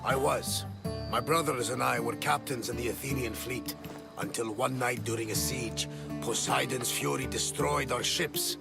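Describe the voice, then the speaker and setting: A man performs a boisterous, weathered sea captain for a video game. His voice has a gravelly creak and a theatrical storyteller's delivery. Prominent music plays behind him. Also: mid-range voice, fairly even intonation, normal speed, natural voice. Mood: grave, haunted. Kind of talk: dramatic video game voice acting.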